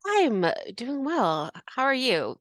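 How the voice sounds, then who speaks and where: Woman, sniffly, animated pitch, close dry sound, casual podcast co-host. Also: slow pace, no echo. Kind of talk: casual chat.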